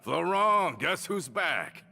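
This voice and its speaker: A man playing a tough, loyal gang member freed from prison in an anime-style game, expressive and hearty. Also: a little high, slow, creaky, exaggerated voice. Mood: jovial.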